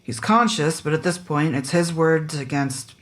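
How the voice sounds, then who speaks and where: A woman's voice: sits low, fairly even intonation, moderate pace, natural voice. Woman playing a blunt, impatient small-town sheriff. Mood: skeptical. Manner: curt, demanding. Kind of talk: naturalistic audio fiction podcast acting.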